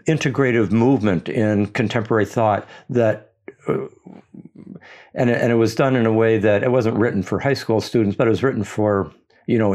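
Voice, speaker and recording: A man's voice: fairly low pitch, darkish tone. An older man from the United States speaking reflectively with many hesitations, restarts and fillers. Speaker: speaker on his intellectual path. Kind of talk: reflective personal talk.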